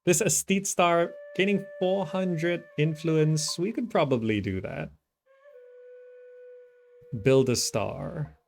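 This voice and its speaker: A man's voice: on the high side, animated, takes it slowly, gravelly, long pauses. A man giving casual gameplay commentary, thinking aloud and often trailing off as he muses to himself. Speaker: gaming video host. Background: background game music.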